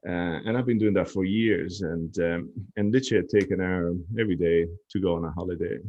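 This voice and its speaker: A man's voice: low-pitched, steady tone, fairly quick, mellow, dull audio. A man chatting as a podcast guest, telling stories in a reflective, easygoing way. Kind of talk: casual chat.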